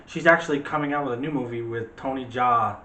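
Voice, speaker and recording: A man's voice: medium pitch, quick pace, bright, forceful tone. A man co-hosting a casual movie discussion, giving his opinions in a rambling, argumentative, conversational way. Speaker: co-host of a movie discussion show. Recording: echoey room with faint background noise.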